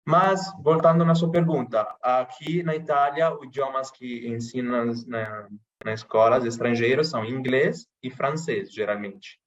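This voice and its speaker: A man from Italy speaking with an Italian accent, chatting casually in a podcast interview with many pauses and restarts. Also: medium pitch, lo-fi sound. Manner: hesitant, many restarts and fillers. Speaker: interviewee, channel host.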